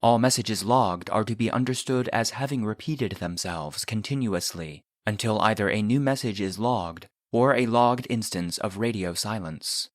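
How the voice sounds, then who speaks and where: Low-voiced man, formal dry narration. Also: normal speed, few pauses, no echo. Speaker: narrator reading log entries. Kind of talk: scripted narration, formal document reading.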